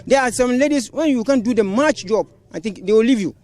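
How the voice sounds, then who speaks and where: A man with a Ghanaian accent, interviewed outdoors on a handheld mic, halting and restarting as he talks. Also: very high voice, a little mellow. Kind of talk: street interview. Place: outdoors by a roadside. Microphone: handheld reporter mic.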